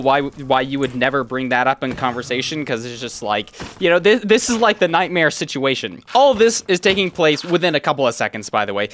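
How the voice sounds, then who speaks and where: A man's voice: fairly high pitch, fairly bright tone, a little hiss or hum. A man in his twenties telling a personal story casually, in a rambling, conversational way, often quoting himself as he goes. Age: 20s. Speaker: YouTuber.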